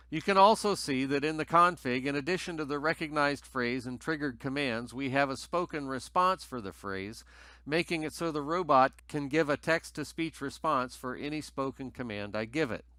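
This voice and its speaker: A man with a bright, forward voice at a middle pitch presents a tutorial. He explains things methodically in scripted narration, recorded close and very dry. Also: no room sound.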